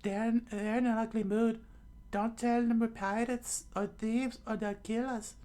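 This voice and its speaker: A man voicing a sharp-tongued, exasperated young woman pirate in a scolding falsetto for a read-aloud story. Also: flat delivery, measured pace. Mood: anxious.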